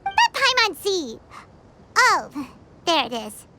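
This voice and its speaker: A tiny floating sprite girl with a very high, bouncy voice that leaps all over the place. She is chirpy and childlike and refers to herself by name in the third person. Loud background noise sits under the game audio. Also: huge pitch swings, slow, exaggerated voice. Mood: excited. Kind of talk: game cutscene voice acting.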